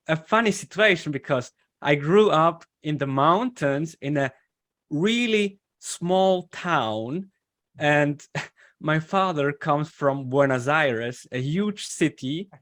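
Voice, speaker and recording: A young man with a Swiss German accent, a guest in an interview who explains his background haltingly, with pauses and restarts. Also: fairly high pitch, animated, a bit bright. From German-speaking Switzerland. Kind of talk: casual interview talk.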